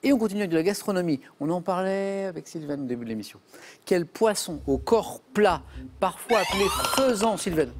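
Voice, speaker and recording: A man's voice: high voice, brisk, a little hiss or hum. A man hosting a TV quiz show, reading questions and joking with contestants in a studio. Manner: lively, jokey, reads questions then banters with contestants.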